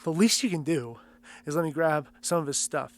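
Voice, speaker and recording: A man's voice: on the high side, normal speed, lightly acted. A man playing an anxious, snarky kid in an audio drama, exasperated and informal. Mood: wary, resigned. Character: anxious, snarky kid searching for missing father.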